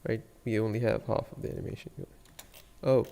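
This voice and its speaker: A man with a Sri Lankan accent giving a casual software tutorial, thinking aloud in a hesitant murmur as he works. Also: fairly low pitch, level tone, a little fast, creaky, in a large hall. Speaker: 3D animation tutorial streamer.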